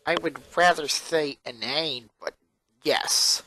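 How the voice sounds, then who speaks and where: A performer voicing a four-year-old girl genius from a cartoon fan story, monotone and sarcastic, with pedantic, wordy phrasing. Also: mid-range voice, slow, no room sound, low-quality recording. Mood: matter-of-fact.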